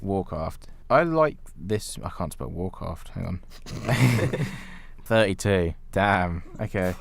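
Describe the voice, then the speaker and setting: Man, deep low-pitched voice, podcast co-host, casual chat about films. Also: expressive, slow, vocal fry. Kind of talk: casual film discussion.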